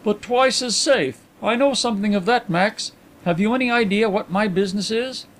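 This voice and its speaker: Man putting on a brisk, high-pitched gentleman detective. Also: close to an everyday voice. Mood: brisk, frank. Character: brisk, frank gentleman private inquiry agent. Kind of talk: audiobook character dialogue.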